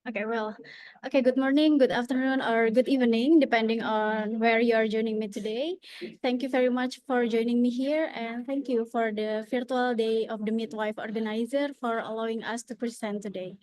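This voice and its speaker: A woman's voice: a little high, steady tone, moderate pace, darkish tone, no room sound. A young woman with an Indonesian accent giving an earnest conference presentation over a video call, often starting with "okay".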